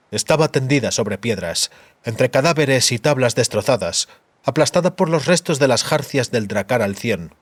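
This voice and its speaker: A man narrating fiction as a dramatized audiobook, with deliberate, dramatic phrasing. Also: medium pitch, no room sound.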